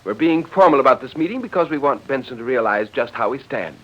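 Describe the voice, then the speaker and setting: A muffled old radio recording features a man acting a formal, evasive leader of a meeting. His voice rides up and down widely, with halting euphemisms in forties drama style. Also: medium pitch, lively intonation, natural voice. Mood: eager, formal.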